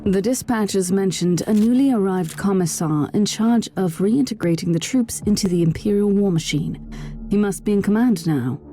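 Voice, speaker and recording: A woman's voice: mid-range voice, normal speed, mellow, close to an everyday voice. An actress playing a stern, zealous woman inquisitor in a video game. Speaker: inquisitor, player character.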